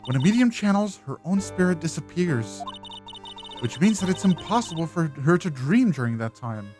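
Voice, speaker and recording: A woman playing a calm, confident mentor lawyer in a fan voice-over of a visual novel, speaking in a measured, instructive way. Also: fairly low pitch, music in the background. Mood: explaining.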